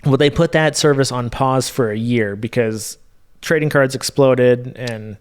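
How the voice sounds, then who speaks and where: A man with a smooth, clean voice at a middle pitch talks as a podcast co-host. He tells anecdotes casually and informally, swearing lightly now and then. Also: measured pace. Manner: informal, mildly profane. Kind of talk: casual chat, storytelling.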